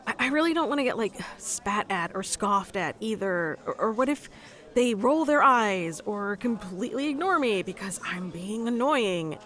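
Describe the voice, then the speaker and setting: A woman's voice: mid-range voice, lively intonation, moderate pace, fairly bright tone, natural voice. Woman acting as a shy, rambling nerdy young woman. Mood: anxious, apologetic. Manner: hesitant, self-conscious, giggly rambling. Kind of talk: ASMR roleplay, conversational monologue to the listener.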